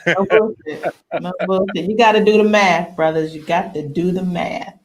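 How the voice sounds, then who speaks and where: A woman's voice: on the low side, moderate pace, rough recording. A woman hosting a talk show in a casual, bawdy style, with playful, slangy and informal delivery. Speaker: radio talk show host.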